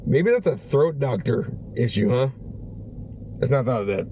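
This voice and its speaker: A man with a raspy, strained voice, rambling casually through a vlog from a parked car. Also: medium pitch, animated, moderate pace, dark tone, a little hiss or hum. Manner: rambling, conversational, many restarts. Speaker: vlogger.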